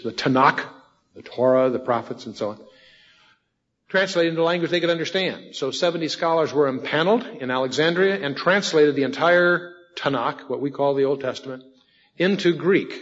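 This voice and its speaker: A man giving an evening Bible lecture, asking his own questions aloud and then answering them, slowing down to list references. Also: a little high. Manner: lists references at a slow measured pace. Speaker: Bible teacher. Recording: dull long-reverb hall recording.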